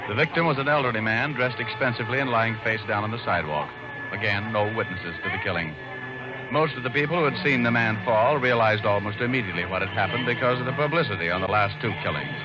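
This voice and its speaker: An actor playing a cool, hard-boiled private detective, narrating in clipped, matter-of-fact style for a radio noir. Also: male voice, lowish voice, fast, close to an everyday voice. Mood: grim, matter-of-fact. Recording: muffled vintage radio, music behind.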